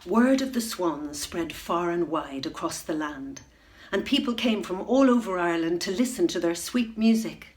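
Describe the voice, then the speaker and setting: A middle-aged woman reading a children's bedtime story, unhurried and expressive, dropping to a hush at tense moments. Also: fairly low pitch. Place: room at home, indoors. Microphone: webcam facing the reader.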